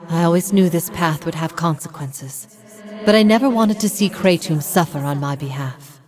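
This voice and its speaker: An actress playing a grave, steadfast heretic prophet in a fantasy video game, speaking in a solemn, measured, formal way. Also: female voice, lowish voice, a little mellow, faint music behind, lightly acted. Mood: reflective, earnest. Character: grave, steadfast female heretic prophet haunted by past lives. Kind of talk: dramatic fantasy game voice acting.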